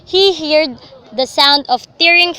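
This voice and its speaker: A woman's voice: high-pitched, slow, heavy background noise. A young woman narrating a scripted story for a radio drama.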